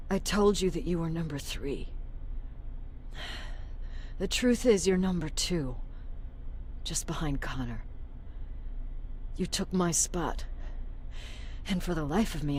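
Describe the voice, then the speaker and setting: An actress playing a tough, wounded military commander, blunt and grimly resolute, in a dramatic video game. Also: female voice, on the low side, darkish tone, a little hiss or hum.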